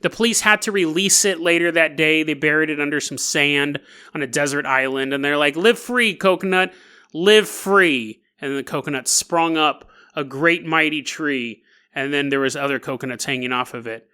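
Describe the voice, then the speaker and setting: A man hosting a solo podcast, telling stories in a casual, jokey, animated way. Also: a little high, normal speed, smooth. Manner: animated, jokey, laughs at own asides. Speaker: podcast host. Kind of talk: casual comedic storytelling, solo podcast narration.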